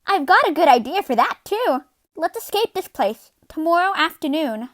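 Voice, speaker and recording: A woman's voice: very high-pitched, very animated, moderate pace, no echo. A woman playing an earnest, determined young orphan girl in an urgent, anime-style fan dub. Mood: eager, determined.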